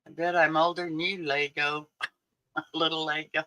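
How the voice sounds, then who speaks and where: Slow-talking bright man in his sixties. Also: fairly high pitch, level tone. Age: 60s. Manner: chatty, laughs often, answers viewers. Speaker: livestream host. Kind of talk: casual livestream chat.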